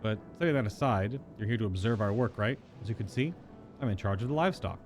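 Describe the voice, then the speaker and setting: A man voicing an earnest, contented farm worker from a fantasy game, reading the dialogue aloud in a polite, measured manner. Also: fairly low pitch, animated, a little hiss or hum. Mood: friendly, content. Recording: music behind the voice.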